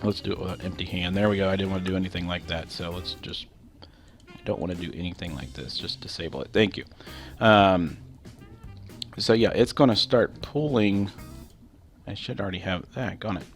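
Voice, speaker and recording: A man's voice: deep voice, normal speed, soft background music. A man with a stuffy nose from a cold, casually talking through his game with loose, rambling explanations and lots of fillers. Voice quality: nasal. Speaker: gameplay commentator. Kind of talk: casual game walkthrough commentary.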